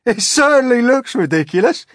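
A man voicing a rude, scornful centipede who mocks loudly. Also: extremely high pitch, big pitch swings, normal speed, dry recording, studio quality, voice pushed up. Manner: mocking, loud, rambunctious.

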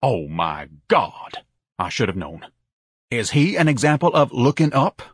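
A pompous, melodramatic grown son from an audiobook, acted out by a man. He declaims haughtily in a husky voice that swings widely in pitch, dry and close. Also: very animated, normal speed, no room sound. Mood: outraged. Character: pompous, indignant, self-pitying grown son, grandiose and melodramatic. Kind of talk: audiobook character voice.

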